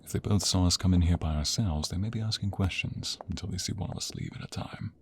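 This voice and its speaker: A man playing a devoted, regal king in love in a romantic ASMR audio drama, speaking intimately in a breathy voice with courtly phrasing. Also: quick pace, a little hiss or hum. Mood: intimate, playful. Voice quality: deep, breathy, often whispering.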